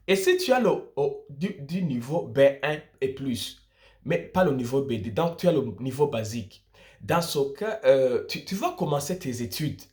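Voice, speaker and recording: Animated, high-pitched young Frenchman-style explainer, male. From Burundi. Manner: emphatic, repeats and rephrases points. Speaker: student vlogger giving study-abroad advice. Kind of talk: informal explainer addressed to viewers.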